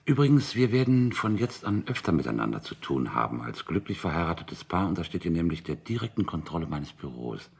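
An actor playing a smug, watchful official in a radio drama, needling and falsely friendly, with a raspy, gravelly voice. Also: male voice, low-pitched, very animated, brisk, few pauses, a little mellow, heavily acted. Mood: veiled threat.

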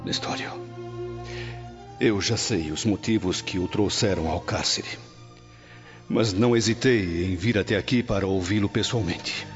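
A man's voice: low voice, a little slow, pauses often. Proud Roman nobleman, long reverb. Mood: grave. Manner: formal, emphatic, declamatory. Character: proud, anguished Roman nobleman, stern but honourable. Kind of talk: radio drama acting. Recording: dull lo-fi audio, long reverb, music behind.

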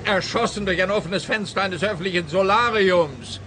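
A man playing a pompous policeman, highish voice. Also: normal speed, background noise, close to an everyday voice. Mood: self-important. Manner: condescending, lecturing, self-important. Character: pompous, pedantic policeman who scorns the private detective. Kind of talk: comic radio play acting.

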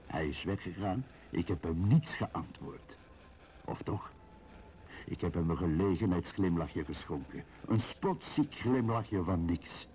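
A man's voice: low voice, expressive, unhurried, dark tone. Radio drama: wry, lonely middle-aged man thinking aloud, swinging intonation, faint background noise. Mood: wry, musing. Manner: musing, self-questioning, wry.

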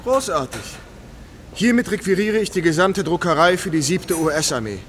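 A brisk American army captain in a wartime radio drama. His voice sits high for a man and sounds decisive and self-assured, with clear street and car noise behind him. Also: animated, fairly bright tone. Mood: commanding. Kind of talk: German radio play acting.

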